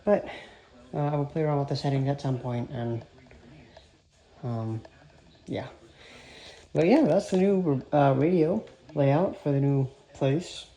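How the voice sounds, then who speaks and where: A man giving an informal, halting show-and-tell narration as a radio hobbyist. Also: a little high, warm tone. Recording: clearly noisy with clicks. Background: weather radio playing.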